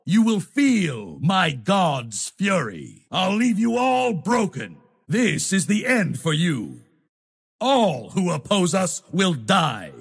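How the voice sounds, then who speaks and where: A domineering crusader knight for a video game, proud and devout to the point of zealotry. He declaims slowly and solemnly in a gravelly voice. His pitch swings dramatically as he pronounces his imperious judgments. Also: sing-song, full character voice. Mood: battle fervor. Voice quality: raspy, gravelly. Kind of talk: video game character voice lines.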